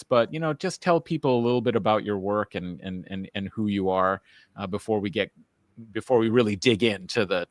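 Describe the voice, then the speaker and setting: A man's voice: medium pitch, no echo, studio quality. A man hosting a live-streamed talk show, enthusiastic and a little rambling, with frequent fillers. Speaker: host.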